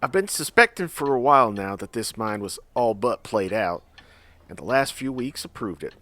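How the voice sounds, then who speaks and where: Man playing a lively, jovial frontier mine boss. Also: medium pitch, moderate pace. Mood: businesslike. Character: jovial, avuncular Old West mine boss. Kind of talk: audio drama acting.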